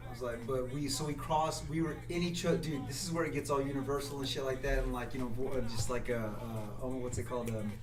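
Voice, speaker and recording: A man's voice: mid-range voice, fairly even intonation, fast talker, few pauses, roomy sound, recorded from a distance, music in the background. A man telling a long, rambling story on a podcast, casual and full of swearing. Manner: rambling storytelling with frequent profanity. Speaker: podcast guest. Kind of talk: casual chat.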